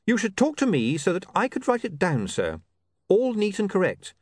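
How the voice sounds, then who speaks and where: An earnest young newspaperman, played by a male narrator in an audiobook. He is polite and deferential but will not give up, speaking in a high, lively voice that rises and falls a lot. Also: moderate pace, slightly rough, no echo, studio quality, exaggerated voice. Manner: deferential yet persistent.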